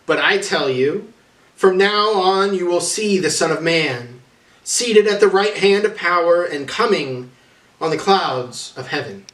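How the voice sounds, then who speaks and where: A man playing an urgent, resolute Jesus in a dramatic scripture reading, with forceful, declamatory delivery. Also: high voice, unhurried. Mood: solemn. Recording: roomy echo, distant from the mic.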